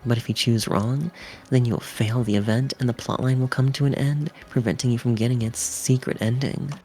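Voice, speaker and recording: A woman's voice: rumbling low, fairly even intonation, normal speed, mellow. A woman reading video game narration and tutorial text aloud as a stream co-host. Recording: music behind the voice.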